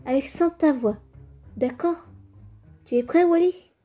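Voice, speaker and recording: Woman voicing a high-pitched, earnest young boy. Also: a little slow, dark tone, soft background music. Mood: eager, instructing. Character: earnest young boy putting on a puppet show. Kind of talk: French fan comic dub, storytelling.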